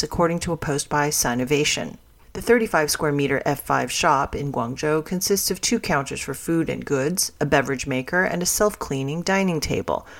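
A woman's voice: low-pitched, gravelly. A woman reading a business news story as scripted narration.

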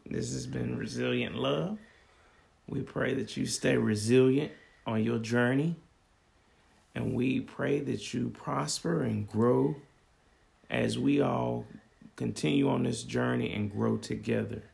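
A man co-hosting a faith podcast, his voice hoarse and a little raspy as he pushes through. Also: medium pitch, fairly even intonation, slow pace, a little mellow. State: slightly hoarse voice. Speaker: podcast co-host.

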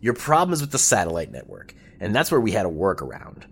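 An actor playing a chatty, wry, slightly anxious revolutionary envoy rambling through a briefing in an audio drama. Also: male voice, on the low side, huge pitch swings, fast, gravelly, fairly bright tone, breathy edge, full character voice. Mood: animated, explanatory.

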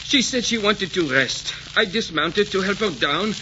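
Deferential French servant, high animated voice, formal, anxious, vintage radio drama, noisy recording. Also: male voice, measured pace, highs cut off. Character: loyal, deferential French manservant of a desert sheik. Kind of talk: 1940s radio drama acting.

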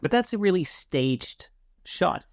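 A man's voice: high-pitched, lively intonation, slow, creaky, dry recording. Middle-aged man chatting, very dry recording. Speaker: podcast host. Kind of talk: casual conversational interview.

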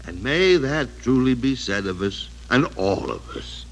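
An actor playing a reformed old miser in old-time radio drama, theatrical, emphatic, gleeful and playfully gruff. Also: male voice, mid-range voice, very animated, measured pace. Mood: solemn. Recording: vintage radio broadcast recording.

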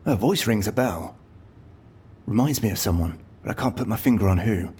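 A man's voice: lowish voice, animated, normal speed, warm tone, breathy edge. An actor playing an impatient, sardonic man who grumbles at his colleague in a naturalistic audio drama. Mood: irritated.

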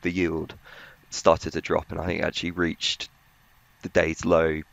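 A man giving conversational market analysis as a financial journalist, in a hesitant, halting way. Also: extremely low pitch, monotone, gravelly, a bit bright, dull audio.

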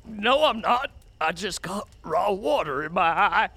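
A man playing a gruff, weary, hard-drinking character in an audio drama, with a gravelly voice and a sardonic, folksy way of talking. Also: sits high, expressive, a little slow, sharp tone, exaggerated voice. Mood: distraught.

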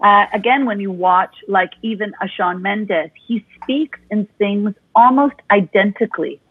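Female vocal coach guest, animated and demonstrative, husky tone, dry tinny phone-line sound. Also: mid-range voice, unhurried, no echo. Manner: expressive, talks with her hands.

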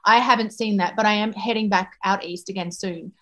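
A woman foreign correspondent answering interview questions in a thoughtful way, with frequent pauses and restarts. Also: mid-range voice, fairly even intonation, pushed and bright.

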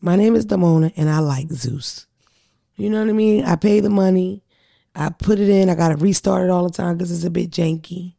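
A young woman with a deep voice, telling blunt, profane stories as a podcast co-host in casual chat. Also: vocal fry, dark tone.

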